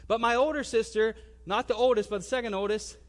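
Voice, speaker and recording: A young man in his twenties with a high-pitched voice tells a personal testimony. He speaks conversationally, in casual everyday wording, and acts out remembered exchanges as part of the story. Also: steady tone, fairly quick. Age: 20s. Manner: conversational and colloquial, acting out remembered dialogue.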